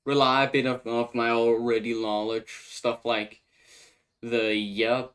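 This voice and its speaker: A young man with a Danish accent takes his time, speaking at an unhurried, slow pace. His pitch sits at an ordinary middle height. He thinks aloud hesitantly, as if working things out while he talks through his made-up language. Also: flat delivery, a bit bright. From Denmark. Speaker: YouTube host explaining his invented language. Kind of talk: casual spoken explainer.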